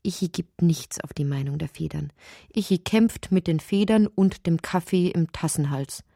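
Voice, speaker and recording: An actress narrating a strange, inward literary radio drama in deliberate, short emphatic phrases. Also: female voice, fairly low pitch, creaky, mellow, dry recording, studio quality. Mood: intense, absurd. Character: woman narrator of a strange inward dreamlike tale.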